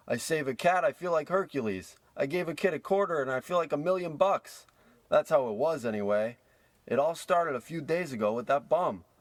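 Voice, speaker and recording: Man playing a high-voiced, cranky reformed old miser. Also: no echo, natural voice. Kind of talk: parody of old radio drama.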